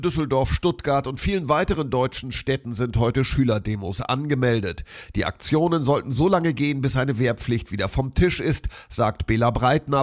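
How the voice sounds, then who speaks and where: A man reading a scripted radio news report as a correspondent. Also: mid-range voice.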